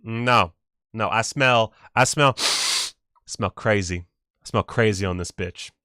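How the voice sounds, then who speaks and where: A man's voice: on the low side, slow pace, pushed and bright, no echo, clean studio sound. A man voicing a sleazy, smug casting-table guy who leers, sniffs and drawls. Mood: leering.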